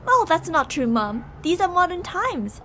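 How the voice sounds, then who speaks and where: A woman's voice: extremely high pitch, very animated, normal speed, pushed and bright, a little hiss or hum, exaggerated voice. A woman playing a possessive, delusional young yandere bully in an ASMR roleplay, teasing, insistent and emotionally volatile. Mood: sweetly pushy.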